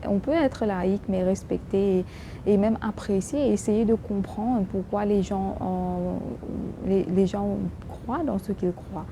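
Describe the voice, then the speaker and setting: Woman vlogger, non-native French with a strong accent, mid pitch, halting delivery; noisy take with a low rumble. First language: English. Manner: halting, many restarts and fillers. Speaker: YouTube vlogger. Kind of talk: casual talk to camera.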